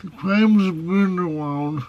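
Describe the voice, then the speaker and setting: A man with a nasal, foghorn-like voice reviewing a movie in a casual video. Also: high-pitched. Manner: slow, measured delivery with plain opinions. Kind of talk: casual film review to camera. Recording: dull, lo-fi sound.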